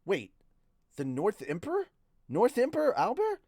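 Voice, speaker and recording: A man's voice: high voice, wildly swooping pitch, normal speed, warm tone, no echo, studio quality, pitched above the performer's own voice, heavily acted. A man reading a novel, voicing an anxious, self-doubting young male mage in a hesitant, dramatic way. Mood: surprised, probing. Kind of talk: first-person novel reading.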